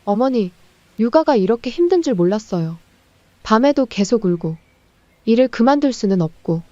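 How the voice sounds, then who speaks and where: An actress plays a deferential young daughter-in-law in an audio drama, polite and beseeching. Her voice is high and very melodic, recorded dry and a bit dull. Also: expressive, moderate pace, warm tone, dry recording, natural voice. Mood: tearful, weary. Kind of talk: dramatized audio story dialogue. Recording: slightly dull, dry studio sound.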